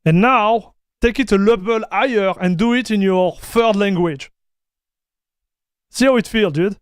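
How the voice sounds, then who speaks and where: Male streamer, high voice, smooth and dry, casual chat. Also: unhurried, no echo. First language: French. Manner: chatty, laughs often, talks straight to chat. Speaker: streamer reacting to tech news.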